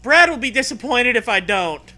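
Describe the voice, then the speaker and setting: Man as jittery kid hero of a spoof horror book, high and animated, anxious. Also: normal speed, exaggerated voice. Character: nervous schoolkid protagonist of a comic horror story.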